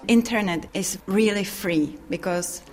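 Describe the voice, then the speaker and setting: A Czech woman speaking English with a Czech accent, a webmaster explaining things in careful, slightly halting sentences. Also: medium pitch, background noise. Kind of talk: interview answers.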